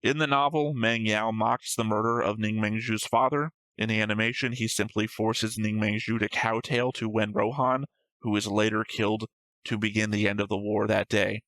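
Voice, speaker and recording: A man's voice: fairly low pitch, steady tone, normal speed, fairly bright tone, no echo, rough recording. A man hosting a reaction show, reading out notes and discussing them casually in a matter-of-fact, slightly pedantic way.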